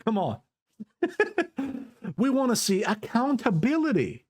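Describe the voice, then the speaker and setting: A man's voice: very high-pitched, huge pitch swings, takes it slowly, darkish tone. A man from Cyprus with a Greek Cypriot accent, giving a fervent, preachy political monologue to his own camera and repeating words for emphasis. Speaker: vlogger. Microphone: self-recorded camera setup.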